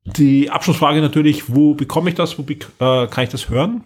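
A man with a slightly husky voice, hosting a casual podcast chat in a hesitant, conversational way. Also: a little high, brisk, a little mellow. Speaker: podcast host. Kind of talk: casual podcast chat about radio plays.

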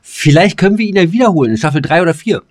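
A man's voice: fairly high pitch, animated, racing, no room sound, clean studio sound. A man co-hosting a podcast in casual, rambling banter, mock-formal and joking. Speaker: podcast co-host.

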